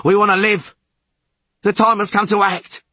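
A grim male mutineer in a fantasy audiobook, voiced by a male narrator. His voice is a raised, heavy rasp, pressing and determined. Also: sits high, level tone, normal speed, bright, forceful tone, dry recording, voice pushed up, exaggerated voice. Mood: grim, resolved. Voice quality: raspy. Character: rasping tall sailor, determined, grim mutineer. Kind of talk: audiobook character acting.

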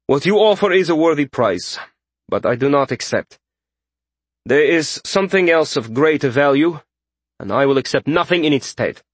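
A man's voice: fairly high pitch, animated, normal speed, husky, no room sound, pitched above the performer's own voice. A man voicing a proud, fanatical middle-aged king in a fantasy audiobook, speaking formally and with imperious emphasis. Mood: haughty, guarded.

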